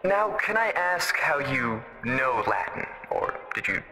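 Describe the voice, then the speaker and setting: A man's voice: medium pitch, sing-song, takes it slowly, bright, forceful tone, heavily acted. A man playing a nosy small-town radio host who pushes his guest and keeps needling him. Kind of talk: fan audio drama acting. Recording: reverberant with music underneath.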